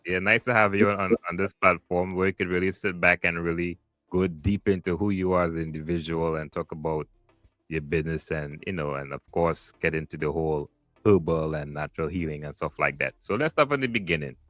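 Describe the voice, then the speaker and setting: A man hosting a podcast interview, relaxed and loose, with plenty of fillers as he asks questions. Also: sits low, fairly quick, no echo. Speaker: podcast host. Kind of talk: casual interview. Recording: dry close mic, faint music.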